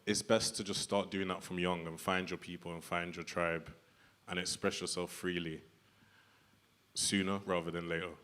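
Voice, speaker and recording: A man's voice: sits low, flat delivery, normal speed, fairly bright tone. A young man speaking on a panel in an earnest, casual way, with many filler words. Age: young adult. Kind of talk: reflective panel talk. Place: event hall with audience.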